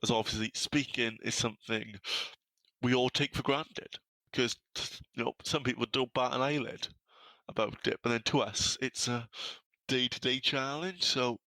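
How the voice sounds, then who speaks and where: A young man with a Welsh accent talks openly and earnestly, catching on the first sounds of some words as he stammers. His voice is bright and clear, at a middle pitch. The recording is dry and close. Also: steady tone, moderate pace, no room sound, low-quality recording. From South Wales. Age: young adult. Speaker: guest. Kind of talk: podcast interview.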